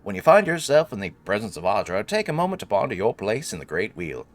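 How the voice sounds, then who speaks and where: A man's voice: lowish voice, huge pitch swings, fast. A man voicing a solemn, reverent narrator reading sacred lore from a fantasy game. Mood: reverent, grand. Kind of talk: scripted lore read-aloud.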